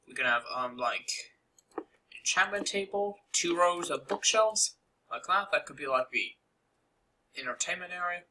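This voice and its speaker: A man's voice: fairly high pitch, sharp tone, poor audio. A young man casually narrating a game-building tutorial over a screen recording, rambling with many fillers and asides.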